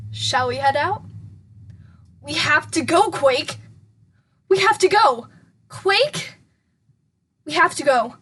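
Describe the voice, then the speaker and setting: A woman voicing an earnest, expressive female dragon adventurer in an audio drama. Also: sits high, far from the mic, slight background noise, heavily acted.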